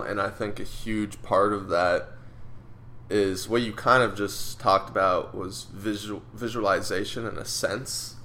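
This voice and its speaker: A man's voice: on the low side, a bit bright. A young man co-hosting a casual podcast, speaking in a thoughtful, agreeable way. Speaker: podcast co-host. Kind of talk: casual chat.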